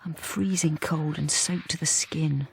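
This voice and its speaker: An actress playing a lonely, downtrodden wife in a radio drama, with a bleak, understated delivery. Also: female voice, sits low, steady tone, a little slow, gravelly, husky, full character voice, poor audio. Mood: bleak, haunted. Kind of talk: first-person radio drama narration.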